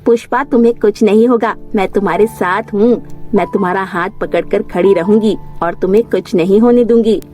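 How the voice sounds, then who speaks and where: Woman as a mellow-voiced scheming young villainess. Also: mid-range voice, brisk, soft background music, lightly acted. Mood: falsely sweet. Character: jealous, scheming young woman who feigns sweetness. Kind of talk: Hindi animated fairy tale voice acting.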